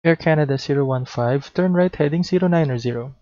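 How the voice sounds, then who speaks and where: A man's voice: fairly high pitch, fairly quick, dark tone, dry recording. A Filipino-accented man in his thirties, teaching air traffic control in an instructional way, on a headset mic. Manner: instructional, mixes Tagalog into English. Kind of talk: demonstration lesson with radio instructions. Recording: dull lo-fi close recording.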